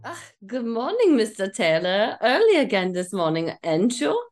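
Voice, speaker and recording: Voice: mid-range voice, wildly swooping pitch, moderate pace. A woman voicing a garrulous, good-natured male butcher who speaks folksy broken English in an old-time radio drama table read. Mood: cheerful, chatty.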